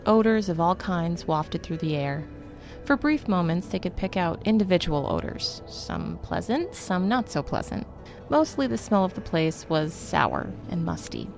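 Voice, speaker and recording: A woman narrating a scripted fantasy story in a steady storytelling manner. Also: on the low side, a little slow, vocal fry, soft background music.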